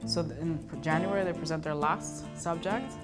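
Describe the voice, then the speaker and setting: A woman from the school staff speaks emphatically in an interview, explaining things with earnest conviction. Her voice runs very low for a woman, and loud guitar music plays beneath it. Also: moderate pace. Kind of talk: documentary interview.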